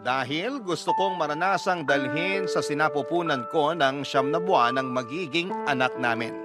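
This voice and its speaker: A man narrating a heartfelt letter as a radio storytelling host, in an earnest, confiding dramatic style. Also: fairly high pitch. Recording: loud music bed under narration. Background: loud background music.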